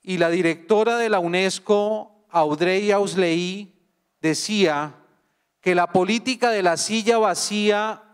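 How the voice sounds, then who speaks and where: A man with a Colombian accent giving a university lecture, speaking slowly and deliberately and putting questions to the audience. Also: high-pitched, smooth. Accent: Colombian Spanish. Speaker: guest speaker. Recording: long hall reverb.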